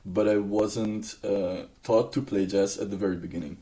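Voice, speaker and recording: A young man from Belgium, a guest musician in a casual interview, speaking in a relaxed way with hesitant fillers. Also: lowish voice, normal speed.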